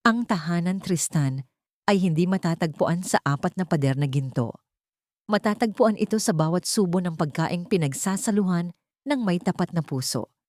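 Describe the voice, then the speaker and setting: A humble young heroine of a romantic audio story, acted by a woman narrator. She speaks earnestly and tenderly in a lowish voice. The recording is close and dry. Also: expressive, moderate pace, a little mellow, dry recording, natural voice. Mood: loving. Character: gentle, forgiving young woman, humble and sincere.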